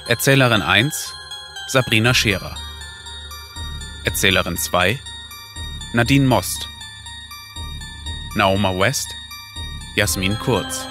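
A man reading scripted credits as the announcer of an audio story. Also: fairly low pitch.

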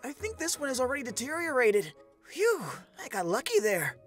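A woman voicing an accident-prone, good-natured young adventurer boy with a sheepish, halting manner in a comic dub. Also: sits high, sing-song. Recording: music behind the voice.

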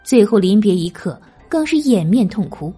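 A woman narrating a historical novel as an audiobook, in an expressive, literary storytelling manner. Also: medium pitch, moderate pace, breathy edge, faint music behind.

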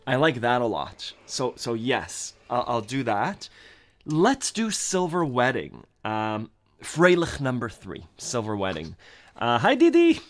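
A man's voice: mid-range voice, huge pitch swings, a little slow. A man in his thirties teaching accordion on a livestream from a home music room, thinking aloud and scatting melodies. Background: accordion playing.

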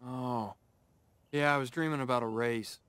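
A man with an American accent voicing a cocky, upbeat young race car in an animated game, breezy and confident. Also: mid-range voice, normal speed, clean tone, no echo, close to an everyday voice. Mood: eager. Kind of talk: animated video game acting.

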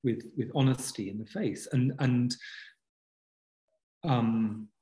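A man in his fifties, a guest author on a video call from a book-lined home study, talking earnestly in a halting way with many restarts. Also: medium pitch, warm tone, poor audio. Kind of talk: reflective conversational interview. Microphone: video call webcam.

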